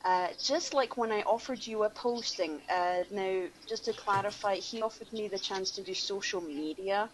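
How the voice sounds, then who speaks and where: A woman's voice: medium pitch, normal speed, few pauses. A woman on an online radio show giving a mocking dramatic reading, with sarcastic asides. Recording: noisy room ambience behind voice.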